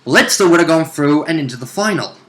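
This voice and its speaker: A man's voice: a little high, expressive, a little fast, fairly bright tone. A man casually discussing a tabletop game as one of its players. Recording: echoey room sound.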